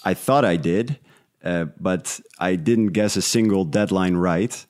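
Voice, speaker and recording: Low-voiced man in casual interview. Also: measured pace, a little mellow. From Netherlands. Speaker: interview guest, independent podcast creator.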